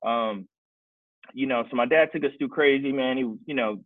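A man's voice: medium pitch, normal speed, dry recording. A man from Kansas City, Missouri, casually telling his life story as a guest, with many restarts. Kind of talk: casual podcast chat. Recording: dry, tinny phone-like sound.

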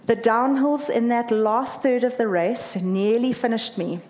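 A woman's voice: on the high side, unhurried. A woman from South Africa with a South African accent, earnestly telling a personal story about running a marathon. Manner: earnest, emotional, lively when quoting others.

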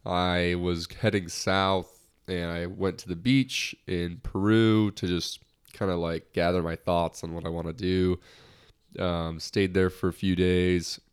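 A man's voice: low voice, clear, resonant tone. A young man hosting his own podcast in a lighthearted, rambling way, slightly nasal from a recent cold. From United States. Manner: lighthearted, rambling, many restarts. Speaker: podcast host. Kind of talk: casual solo podcast talk.

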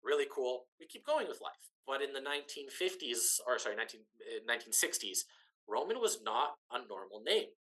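A man's voice: mid-range voice, very animated, a little fast, a bit bright. A man talking in a long-form interview over a video link, explaining things conversationally and rephrasing for emphasis. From Canada, Ukrainian diaspora family. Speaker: interview guest, businessperson.

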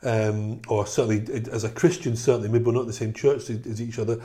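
A middle-aged man from Scotland with a softened Scottish accent, co-hosting a church podcast in an earnest, storytelling way. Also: on the low side, steady tone, fast. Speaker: podcast co-host, church leader. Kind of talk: casual chat.

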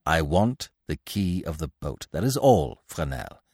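Man playing a low-voiced, coolly menacing gentleman fugitive. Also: sing-song, breathy edge, dry recording, studio quality, exaggerated voice. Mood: cold menace. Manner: quiet, formal, deliberate. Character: cool, resolute gentleman fugitive, quietly menacing.

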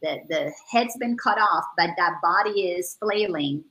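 A woman's voice: fairly low pitch, expressive, a little slow, bright, forceful tone, low-quality recording. A woman who grew up in the Dominican Republic, speaking earnestly over a video call and repeating words as she searches for them. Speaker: guest. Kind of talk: casual spiritual chat.

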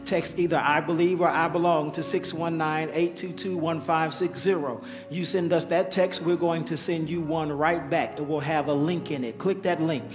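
A man who is a pastor giving an altar call and announcements to his congregation, with a hoarse voice he says he keeps losing. He talks in a coaxing, repetitive preaching manner. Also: a little high, fairly even intonation, normal speed, few pauses. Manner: urgent repeated coaxing, preacherly. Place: church sanctuary. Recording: live church sound with music and crowd. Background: music and congregation.

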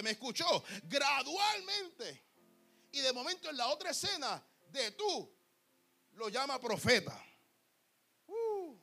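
A man's voice: extremely high pitch, creaky, bright, forceful tone. A young man preaching a fervent sermon, repeating words and calling the congregation beloved. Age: young adult. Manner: fervent, repetitive, calls listeners "amado". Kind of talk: Pentecostal sermon.

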